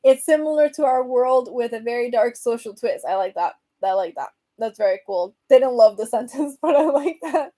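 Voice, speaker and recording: A woman's voice: on the high side, lively intonation, normal speed, hardly any pauses, warm tone. A young woman from Venezuela with a Venezuelan accent, casually chatting on a livestream and reading viewer comments aloud with lots of fillers. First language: Spanish. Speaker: host.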